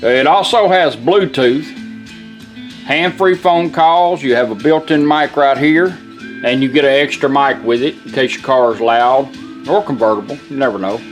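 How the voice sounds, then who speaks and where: A man's voice: medium pitch, normal speed, soft background music. A man giving a casual, folksy product demonstration, explaining things step by step in an informal way. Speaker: radio restorer demonstrating a product.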